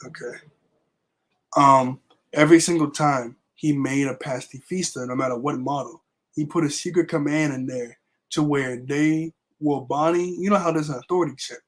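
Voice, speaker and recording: A young man with a raspy voice left over from being sick, casually recapping a manga chapter with lots of fillers. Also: fairly high pitch, moderate pace. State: raspy voice recovering from illness. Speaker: manga review vlogger. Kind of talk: casual chat.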